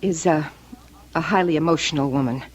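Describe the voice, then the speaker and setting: An actress playing a firm, exasperated sister in an old-time radio drama. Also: female voice, sits low, brisk, breathy edge. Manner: firm, urgent, placating. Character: stern, exasperated sister managing a hysterical woman. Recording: dull, noisy old radio recording.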